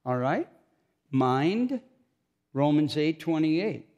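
A man's voice: a little high, sing-song, takes it slowly, a little mellow, highs cut off. A man preaching an informal sermon, chatty and self-mocking, joking with the congregation between scripture readings.

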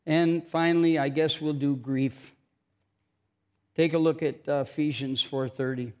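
A man's voice: a little high, steady tone, measured pace. A man preaching an informal sermon, chatty and self-mocking, joking with the congregation between scripture readings.

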